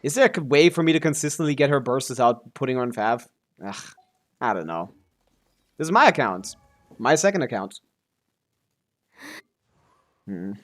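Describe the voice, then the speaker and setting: A man's voice: medium pitch, animated, moderate pace, long pauses. A man giving casual live game stream commentary, thinking aloud as he talks through his options.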